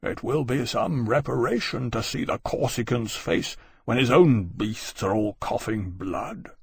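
A man's voice: medium pitch, lively intonation, unhurried, gravelly, husky, no echo, exaggerated voice. A man voicing a coarse, vindictive admiral in an audiobook, grimly gloating and swearing. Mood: grim relish.

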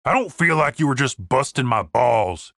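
A big, gruff brute of a man, acted by a woman, raspy and forceful. He is dim, blunt and sullen, a sulky adventurer in a comic fantasy audiobook, recorded very dry. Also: extremely low pitch, lively intonation, moderate pace, no room sound, full character voice. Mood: sullen, hurt.

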